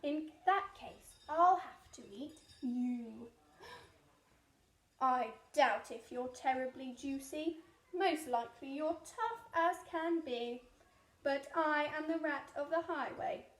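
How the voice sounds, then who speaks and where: Storybook rat robber, acted by a woman, greedy, boastful, declamatory, unhurried menace, roomy echo. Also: high-pitched, a bit bright. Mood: menacing, boastful.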